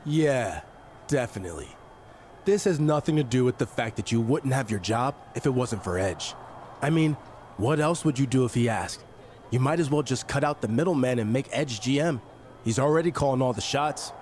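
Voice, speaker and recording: A man's voice: medium pitch, animated, normal speed, a little mellow, slight background noise, close to an everyday voice. A man playing a defiant, sarcastic wrestling champion who needles others in a video game scene. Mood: sarcastic, resentful. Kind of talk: scripted game dialogue.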